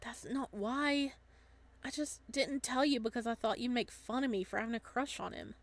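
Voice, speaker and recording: Woman as an earnest young man, high-pitched. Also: normal speed, natural voice. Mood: flustered. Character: timid, earnest, lovestruck young man of twenty-one. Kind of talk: visual novel dialogue reading.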